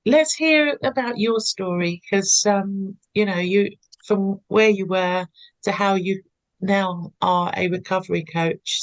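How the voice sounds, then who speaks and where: A woman's voice: mid-range voice, low-quality recording. A woman hosting a podcast, friendly and enthusiastic as she interviews her guest. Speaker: podcast host. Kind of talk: casual interview chat.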